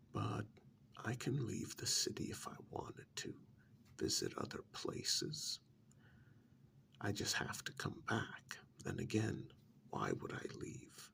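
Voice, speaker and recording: A man playing a smug, pampered captive in a soft-spoken ASMR role-play, drawling sardonically with long pauses and feigned innocence. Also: fairly low pitch, full character voice. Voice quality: soft, half-whispered, gravelly.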